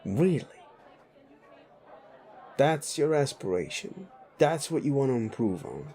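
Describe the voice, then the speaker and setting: A man's voice: medium pitch, very animated, takes it slowly, mellow, some chatter behind. A man playing a sleazy, bullying, foul-mouthed werewolf boss in a roleplay audio drama, blunt and needling. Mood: exasperated, scornful. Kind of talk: immersive roleplay audio drama addressed to listener.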